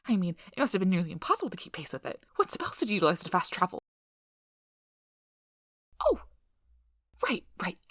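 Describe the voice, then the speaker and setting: A woman's voice: on the low side, very animated, fairly quick, long pauses, fairly bright tone, no echo, studio quality, exaggerated voice. A woman playing a nervous, eager researcher in an ASMR roleplay, rambling in a flustered, apologetic way. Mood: impressed, flustered. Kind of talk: ASMR roleplay audio drama.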